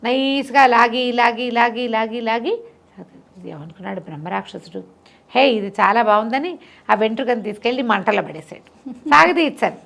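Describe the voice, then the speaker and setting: A woman's voice: a little high, moderate pace, creaky, a bit bright. A middle-aged woman tells a moral story conversationally, repeating phrases for emphasis and explaining the lesson simply.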